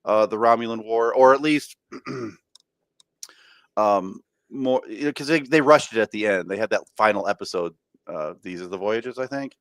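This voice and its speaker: A man's voice: lowish voice, measured pace, no echo, studio quality. A man co-hosting a podcast, chatting eagerly with many stammered restarts. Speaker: podcast co-host. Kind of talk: casual chat.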